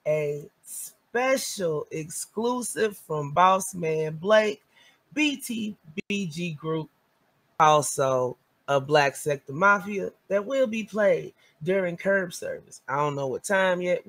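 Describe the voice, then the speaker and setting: A man's voice: high-pitched, animated, slow, a bit bright, no echo. A man hosting a live stream, wrapping up in an upbeat announcer's manner and plugging the next shows. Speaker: host.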